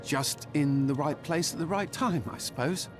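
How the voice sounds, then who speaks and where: A man as a higher-voiced, modest townsman. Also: moderate pace, close to an everyday voice. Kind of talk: video game voice acting. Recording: loud music under the voice.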